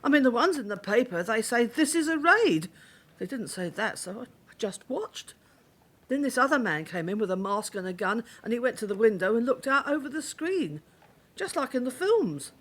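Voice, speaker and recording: A flustered, indignant woman witness in a radio crime drama. Her highish, husky voice rises and falls a lot as she rambles on defensively. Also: big pitch swings, normal speed, a bit bright. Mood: rambling, indignant. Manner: rambling, defensive, matter-of-fact. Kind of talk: naturalistic radio drama acting.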